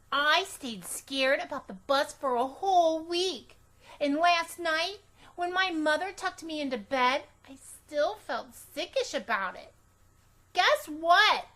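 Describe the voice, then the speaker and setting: Woman, children's storytime reader, high bright voice, expressive, deliberate, childlike telling. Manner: animated, deliberate, childlike first-person telling. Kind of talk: expressive read-aloud of a children's book.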